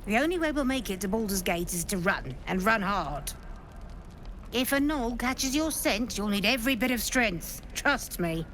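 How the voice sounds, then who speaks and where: Gruff camp cook, blunt and plain, voice swings up and down. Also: female voice, medium pitch, sing-song, moderate pace, a bit bright, slight background noise. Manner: blunt, brusque, plain-spoken. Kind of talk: video game dialogue.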